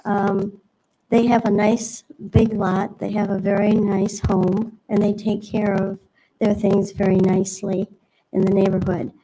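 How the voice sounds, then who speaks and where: A woman's voice: medium pitch, flat delivery, measured pace, warm tone, slight background noise, low-quality recording. A woman making a public comment at a city meeting, polite and hesitant, rambling with fillers. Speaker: resident speaking at a public hearing.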